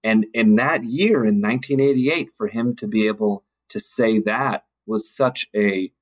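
A man as a podcast guest, reflecting in conversation with halting, deliberate and emphatic phrasing. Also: lowish voice, rough recording.

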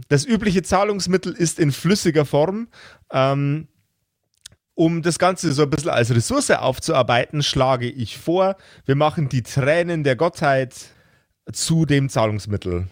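Male podcast game master, slightly high voice, very dry close sound, casual and searching delivery with repeated words. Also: lively intonation, a little slow, dry recording. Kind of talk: casual world-building discussion for a role-playing podcast.

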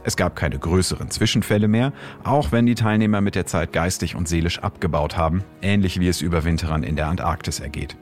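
Deep-voiced male science narrator over music. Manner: measured, explanatory. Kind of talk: scripted documentary narration. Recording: clear music bed behind.

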